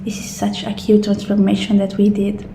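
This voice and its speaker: A woman doing a soft-spoken ASMR roleplay as an assistant, often dropping into a whisper, with gentle, reassuring guidance. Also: medium pitch, monotone, normal speed, creaky, soft background music. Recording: roomy echo, faint background noise.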